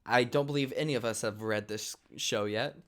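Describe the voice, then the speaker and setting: A man's voice: mid-range voice, moderate pace, fairly bright tone. A man casually hosting an online table read, chatting and explaining things to viewers. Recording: online group call livestream.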